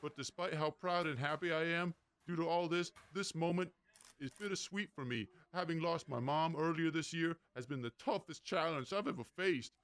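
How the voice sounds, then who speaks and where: A man acts as a humble young champion athlete in a radio play. His slightly high voice delivers an earnest, measured, sentimental acceptance speech. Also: fairly bright tone, dry recording. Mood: bittersweet gratitude.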